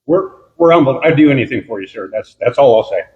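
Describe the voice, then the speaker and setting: A man who is a law officer speaking at his own honoring ceremony, loud-voiced, halting and earnest. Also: medium pitch, expressive, lo-fi sound. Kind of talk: ceremony speech.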